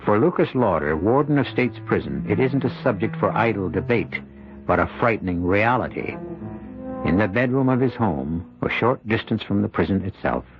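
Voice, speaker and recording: A man narrating a mystery tale for old-time radio in a grave, deliberate, ominous and dramatic manner. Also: fairly low pitch, animated, lightly acted. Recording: muffled old radio recording. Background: dramatic music.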